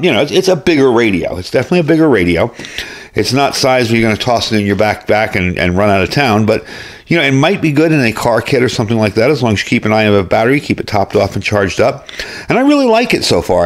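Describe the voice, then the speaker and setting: A man giving a casual hands-on gear review as host, explaining things informally, step by step. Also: on the low side.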